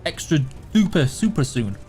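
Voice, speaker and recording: A man's voice: fairly high pitch, sing-song, normal speed, a little mellow, faint music behind. A man with a Yorkshire accent, streaming a game and casually answering viewer questions in a chatty, self-deprecating way. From Yorkshire, England.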